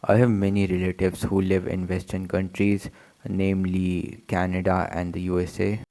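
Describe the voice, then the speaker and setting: A man's voice: sits low, monotone, moderate pace, mellow. A man with an Indian accent giving blunt, matter-of-fact voice-over commentary. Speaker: video commentator.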